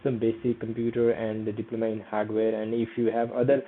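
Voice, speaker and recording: A man's voice: on the low side, monotone, fairly quick, a little hiss or hum. A young man with a Nepali accent, a native Nepali speaker, walking through a screen-share tutorial in hesitant English full of fillers and repeated "okay". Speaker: vlogger. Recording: tinny phone-like audio.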